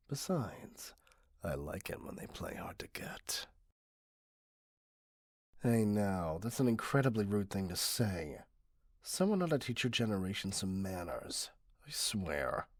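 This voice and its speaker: Man acting out a husky, low-voiced obsessive Karen customer. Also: no room sound, clean studio sound. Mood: indignant, scolding. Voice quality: husky, often breathy. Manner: condescending, self-righteous, cloyingly possessive. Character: obsessive, entitled middle-aged yandere customer, a "Karen" parent kidnapper. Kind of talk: ASMR roleplay monologue. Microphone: close, dry microphone.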